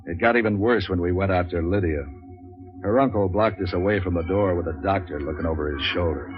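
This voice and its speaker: An actor playing a world-weary, wisecracking troubleshooter in a 1940s radio noir, clipped and deadpan. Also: male voice, low-pitched, a little fast, loud music behind the voice, lightly acted. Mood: deadpan narration. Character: cynical, world-weary hardboiled male private troubleshooter, wisecracking. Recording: dull 1940s radio recording.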